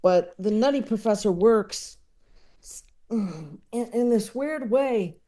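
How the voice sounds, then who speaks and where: A man speaking as an interview guest, casually discussing comedy in a halting, chuckle-prone conversational way. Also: high voice, animated, warm tone.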